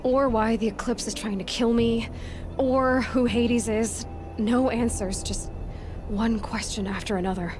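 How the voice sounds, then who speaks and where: A woman's voice: fairly high pitch, fairly even intonation, a little slow, music in the background, lightly acted. A woman playing a blunt young huntress. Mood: inquiring. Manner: blunt and questioning. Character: determined young female hunter. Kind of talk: video game cutscene acting.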